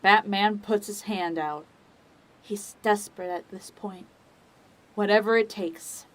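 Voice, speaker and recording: A woman giving scripted dramatic narration as the narrator of a story, in a measured, deliberate manner. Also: medium pitch, steady tone, a bit bright, low-quality recording.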